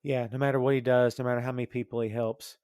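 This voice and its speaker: A man's voice: mid-range voice, little pitch movement, quick pace, darkish tone, clean tone, no room sound, studio quality. A man in a casual, opinionated podcast discussion, rambling and thinking aloud as he goes. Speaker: podcast host discussing comics and film.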